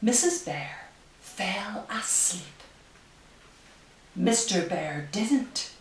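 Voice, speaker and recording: A woman librarian speaking at story time for young children, with careful, deliberate phrasing and pauses. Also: mid-range voice, lively intonation. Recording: roomy, distant, faint hiss.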